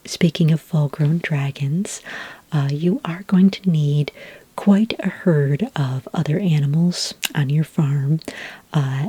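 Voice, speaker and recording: A patient, helpful female dragonmaster, played by a woman, speaks in a low, husky whisper for an ASMR fantasy roleplay. She explains things gently and without hurry, pausing with small hesitations. The sound is close and dry. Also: no echo, full character voice, low-quality recording. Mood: patient, informative. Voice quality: breathy, whispery. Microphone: close microphone in a dry space.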